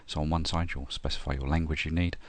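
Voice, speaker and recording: A middle-aged man talking through a software install, step by step, with frequent ums and uhs. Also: extremely low pitch, fast, a little hiss or hum. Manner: steady step-by-step explaining with frequent "uh" and "um". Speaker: software tutorial presenter. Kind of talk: screen-recorded tutorial narration.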